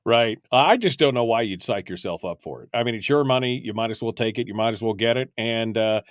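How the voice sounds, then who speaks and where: A man's voice: mid-range voice, brisk, no echo, studio quality. A man hosting a call-in radio show, talking casually and chattily, often repeating himself. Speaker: radio talk show host. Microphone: studio microphone.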